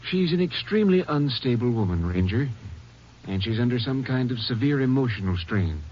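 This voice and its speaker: An actor playing a calm, clinical, reassuring psychiatrist in a 1950s radio drama. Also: male voice, medium pitch, normal speed, lightly acted. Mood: calm, professional. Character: composed, reassuring male psychiatrist. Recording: muffled old radio recording, faint noise.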